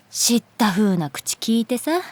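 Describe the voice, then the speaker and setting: A woman's voice: a little high, no echo, exaggerated voice. A woman playing a wry, aloof but fond teacher in a Japanese audio drama, with a dry, teasing, languid way of talking.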